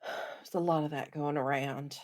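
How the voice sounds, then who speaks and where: A woman's voice: low voice, steady tone, unhurried, darkish tone. A woman playing a tabletop role-playing game in a casual way, speaking tentatively and often in questions.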